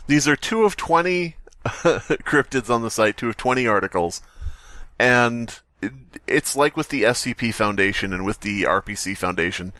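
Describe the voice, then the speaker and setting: A man's voice: mid-range voice, lively intonation, normal speed, bright, forceful tone, dry recording. A man co-hosting a casual podcast who rambles, hedges and critiques the stories. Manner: rambling, lots of fillers and hedges. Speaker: podcast co-host.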